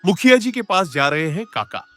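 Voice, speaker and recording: Male actor as anxious farmer neighbour, highish voice with lively swings, earnest story acting over music. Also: moderate pace. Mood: worried. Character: worried, earnest village farmer, a concerned neighbour. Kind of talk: dramatized moral story acting.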